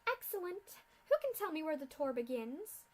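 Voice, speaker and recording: A sadistic female pony scientist, as a woman reader puts her on, sneering through mock-polite lines. Her voice sits high and pushed loud, all theatrical contempt in a storybook reading. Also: very animated, moderate pace. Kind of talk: fanfic reading.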